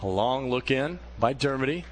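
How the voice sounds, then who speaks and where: A man doing radio play-by-play for a baseball game, calling pitches in a steady flow that lifts when a play unfolds. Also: moderate pace, a little hiss or hum. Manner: steady calls, rising excitement on plays. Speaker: play-by-play announcer.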